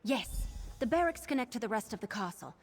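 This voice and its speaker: A woman playing an earnest, excitable young archer heroine in a video game, speaking emphatically and urgently. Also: on the high side, brisk. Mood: urgent, resolute.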